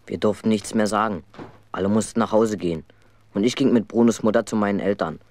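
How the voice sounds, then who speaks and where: A man's voice: lowish voice, fairly quick, darkish tone, lightly acted. A man narrating a children's radio play as a mischievous, aggrieved schoolboy telling his own story. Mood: wry, aggrieved.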